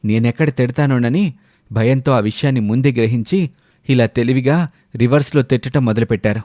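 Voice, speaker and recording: A man's voice: mid-range voice, a little fast, dark tone, no room sound, clean studio sound, lightly acted. A man voicing a devoted, distressed young husband who speaks earnestly, in a narrated story. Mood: accusing.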